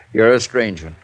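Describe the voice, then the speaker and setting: An actor playing a stubborn, evasive American doctor in a 1950s radio drama, formal and insistent. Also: male voice, lowish voice. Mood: troubled, earnest. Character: earnest, evasive, stubborn male doctor under strain.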